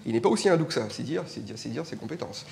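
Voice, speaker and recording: Man debating on stage, lively pitch, emphatic and argumentative, faint room noise. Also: racing, gravelly. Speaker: political scientist and politician. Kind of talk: panel debate. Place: indoor public event.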